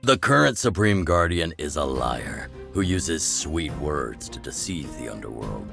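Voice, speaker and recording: A man voicing a gruff, wry older rebel chief in a video game, with a measured, sardonic delivery. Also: big pitch swings, a bit bright, exaggerated voice. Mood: grave, firm. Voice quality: deep, gravelly. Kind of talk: scripted video game voice acting. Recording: game music under the voice. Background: game music.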